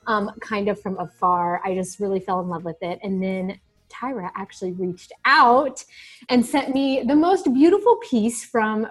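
Gushing woman podcast host from Kansas. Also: medium pitch. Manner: chatty, enthusiastic, gushing. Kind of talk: casual interview hosting.

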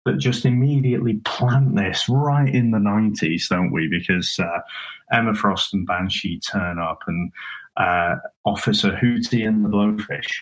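Man, low voice, podcast co-host, casual film chat, explanatory, halting phrasing, tag questions. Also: poor audio. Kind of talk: casual conversational film discussion.

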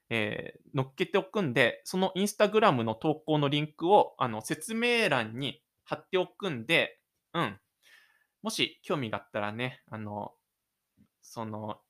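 A man with a gentle, chatty manner, speaking as the host and narrator of his own audio drama. He talks in an unhurried, conversational way, as if to listeners close by, at a medium pitch.

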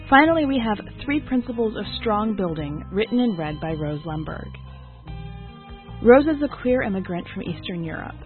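A woman's voice: medium pitch, narrow, tinny audio. A woman hosting a podcast, reading scripted introductions and short biographies. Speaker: podcast host and editor introducing poetry.

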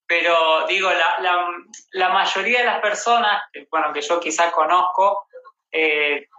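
A man's voice: sits high, measured pace, pushed and bright. An Argentine man hosting a casual livestream interview. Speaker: host interviewing a guest. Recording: echoey room, dull livestream audio.

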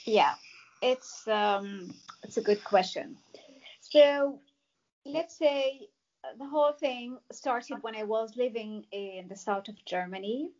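A woman from Morocco, a mountaineer, answering interview questions in a measured way with fillers. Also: on the high side, a little mellow, lo-fi sound. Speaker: mountaineer guest.

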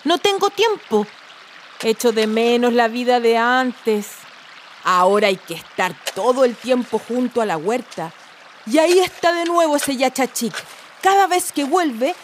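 High-voiced childlike Chilean daughter. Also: female voice, expressive, unhurried, a little hiss or hum. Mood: wistful, grumbling. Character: eager, grumbling young daughter of a farming family. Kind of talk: family radio play.

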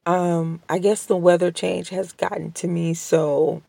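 A woman hosting a casual solo podcast from home, under the weather with a strained voice, talking slowly with many hesitations and apologies. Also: lowish voice, flat delivery, vocal fry, warm tone, husky, dry recording. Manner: slow, hesitant, many ums and restarts; polite and apologetic. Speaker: podcast host. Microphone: home podcast microphone.

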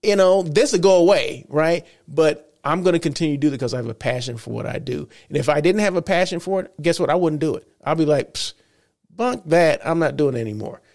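Male host, stuffed-up, gravelly voice on the high side, loose chatty delivery with restarts. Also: brisk, darkish tone. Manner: rambling, self-correcting, chatty. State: congested. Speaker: show host. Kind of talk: casual solo show talk.

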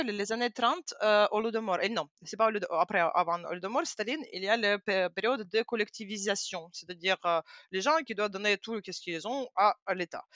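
Ukrainian-accented woman, Russian first language; animated, halting French with lots of fillers, bright tone, dry close sound. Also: medium pitch, no room sound. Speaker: guest. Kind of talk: casual interview talk.

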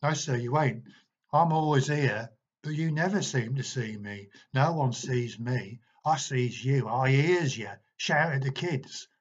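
Guy doing a creepy street kid voice, slangy, quiet and calm, dull muffled sound. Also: medium pitch, unhurried. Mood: eerie. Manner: rough working-class speech, quiet and accusing. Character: eerie, cockney-talking boy, unsettlingly calm.

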